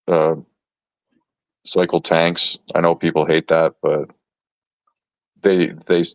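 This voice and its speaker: A man in his fifties with a very low voice, talking casually and haltingly about his fish tanks. He keeps restarting his sentences and hunting for words. The sound is dry and a little dull, like a modest lo-fi recording. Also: level tone, dry recording. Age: 50s. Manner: halting, repeats words, searches for words. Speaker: fish keeper. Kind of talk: casual chat.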